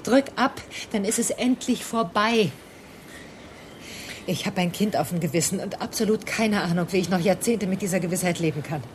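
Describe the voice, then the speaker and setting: An actress plays a burdened but defiant woman in a radio drama. Her medium-pitched voice is controlled and reasoning, with a sardonic edge. There is faint noise behind her. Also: moderate pace, natural voice. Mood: defiant, resigned. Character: guilt-laden, coolly defiant woman facing down an armed man. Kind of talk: German radio drama acting.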